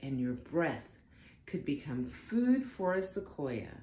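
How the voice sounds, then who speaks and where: Low-voiced woman reading slowly to children. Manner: slow, expressive, deliberate phrasing for young listeners. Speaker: storybook reader for children. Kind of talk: read-aloud of a children's picture book. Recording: roomy echo, faint background noise.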